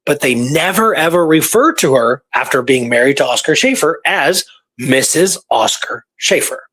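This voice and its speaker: A man's voice: fairly high pitch, expressive, moderate pace, a bit bright, husky. A man talking casually through historical research, rambling and informal, repeating points for emphasis. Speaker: history researcher and show guest or co-host.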